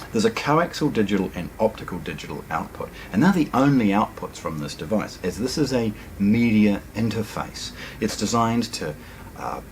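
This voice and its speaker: Kiwi guy, middle-aged, unboxing hi-fi gear in a shop, lowish voice but really bouncy, lots of ums. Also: a little hiss or hum. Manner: chatty, enthusiastic, frequent ums and restarts. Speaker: hi-fi store presenter. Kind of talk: casual product unboxing walkthrough. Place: hi-fi store, indoors.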